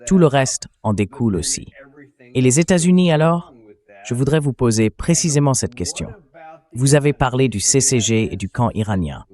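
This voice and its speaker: A man's voice: medium pitch, lively intonation, normal speed, darkish tone. A man hosting a political interview in a dubbed translation, building up long, discursive questions. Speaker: interview host.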